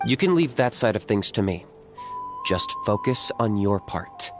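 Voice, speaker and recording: An actor playing a blunt, composed young warrior in a video game, giving matter-of-fact guidance. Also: male voice, lowish voice, very animated, unhurried, music in the background. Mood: instructive, reassuring. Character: cool, blunt young male warrior mentor, calm and practical.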